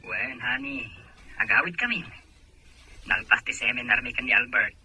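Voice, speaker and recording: A man's voice: mid-range voice, very animated, moderate pace, sharp tone, heavily acted. A man with an Ilocano accent acting a sociable, teasing husband and friend in an audio drama. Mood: affectionate.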